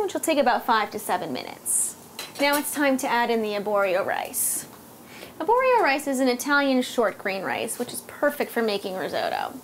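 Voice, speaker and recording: A woman's voice: sits high, a little hiss or hum. A woman in her thirties with an American accent, demonstrating a recipe to camera step by step as a cooking host. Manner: clear step-by-step explaining. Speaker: cooking host, food blogger. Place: home kitchen, indoors.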